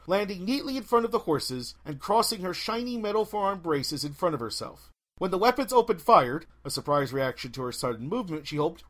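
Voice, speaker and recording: Guy reading an audiobook, wry and theatrical, voice a bit high and all over the place in pitch. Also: a bit bright. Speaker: audiobook narrator. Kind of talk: animated scripted fiction narration.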